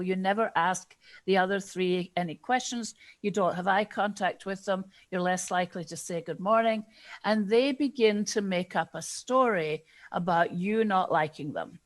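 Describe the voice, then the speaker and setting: A woman with a Scottish accent, a guest expert in an interview, explaining ideas in a measured way and acting out the inner questions of her examples. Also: mid-range voice. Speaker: guest expert on diversity and inclusion.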